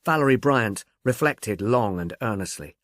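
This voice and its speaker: A man reading scripted literary narration as an audiobook narrator, in a measured, articulate storytelling manner. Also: on the low side, lively intonation, a bit bright, no room sound.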